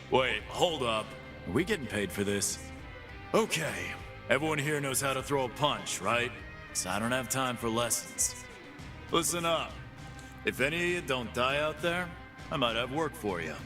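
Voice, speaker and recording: A cocky half-beast pit boss. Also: male voice, medium pitch, very animated, a little slow, long pauses. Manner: swaggering, boastful, tough-guy taunts. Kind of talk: game character voice lines. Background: game music.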